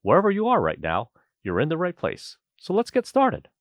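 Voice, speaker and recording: A man's voice: fairly high pitch, wildly swooping pitch, normal speed, no room sound, studio quality. A man from Canada hosting an interview, chatty and friendly, often restarting his sentences with fillers. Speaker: podcast host. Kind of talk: casual interview.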